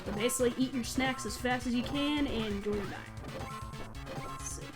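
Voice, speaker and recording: A woman with a fairly high voice talks through a video game as a let's play host. Her commentary is casual and chatty, with a self-deprecating streak. Game music plays behind her. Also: normal speed.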